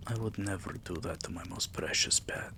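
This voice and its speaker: A man playing a possessive, tender yet menacing vampire king in an intimate ASMR roleplay, murmuring softly and dipping into whispers. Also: deep voice, slight background noise, full character voice. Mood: soothing, possessive. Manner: soft, slow, breathy murmurs dipping into whispers.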